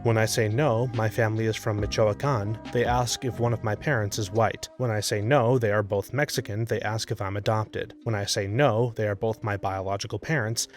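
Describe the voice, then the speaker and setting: A man reading out collected first-person stories as a steady scripted narration. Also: mid-range voice.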